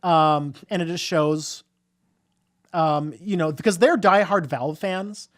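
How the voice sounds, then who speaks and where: A woman's voice: fairly low pitch, slow. A woman co-hosting a live podcast, chatting casually about films in a rambling way with emphatic agreement. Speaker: podcast co-host.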